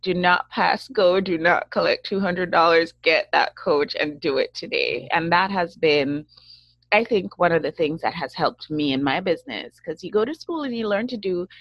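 A woman's voice: on the low side, lively intonation, moderate pace, dry recording. A woman hosting an interview show, emphatic and encouraging as she talks with her guest. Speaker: show host. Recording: dry, slightly dull sound.

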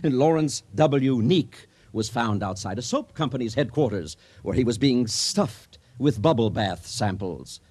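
An actor playing an exuberant comic storyteller in a radio drama, husky and grandly mock-solemn. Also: male voice, mid-range voice, expressive, unhurried, darkish tone. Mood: mock-solemn, wry. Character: exuberant comic storyteller addressing a wedding crowd. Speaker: narrator.

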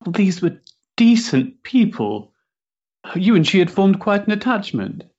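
An actor playing a restless, sensitive young Victorian gentleman in a stage play, hesitant and politely mannered. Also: high-pitched, sing-song, measured pace, dull audio, exaggerated voice. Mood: uneasy. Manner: hesitant, polite, mannered upper-class diction. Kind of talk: period stage-play acting.

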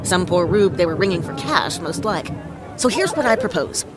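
An actress playing a cocky, quick-witted detective in a comic noir audio drama, theatrical, wry and self-satisfied. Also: female voice, lowish voice, big pitch swings, over a noisy crowd, heavy background noise. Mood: wry, assured.

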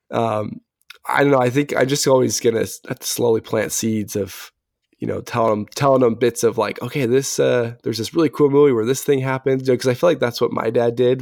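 Male podcast guest, gravelly and a touch raspy from being under the weather, close dry sound, loose hesitant chat. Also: medium pitch, fairly even intonation, normal speed, no echo. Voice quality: slightly raspy. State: recovering from illness, voice a little raspy. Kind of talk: casual chat.

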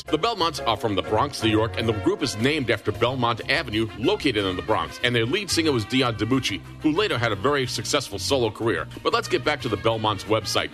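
A man's voice: mid-range voice, fairly bright tone. A man hosting a radio talk show, speaking conversationally as he introduces and discusses programs. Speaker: internet radio show host.

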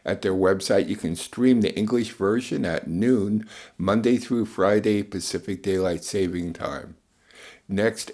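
A man with a lowish voice hosts a radio news program. He presents from a script, introducing reports and reading summaries. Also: darkish tone. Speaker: radio show host.

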